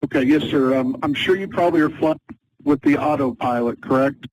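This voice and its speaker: A man working as an air traffic controller, calmly giving procedural instructions and repeating them over an aviation radio. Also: mid-range voice, brisk, no room sound. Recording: narrow, noisy aviation radio transmission.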